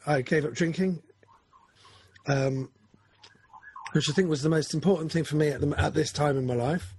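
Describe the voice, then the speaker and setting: A man's voice: mid-range voice, darkish tone, slightly rough, no echo. A man, talking through his goals in a casual, rambling, self-correcting chat. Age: 40s.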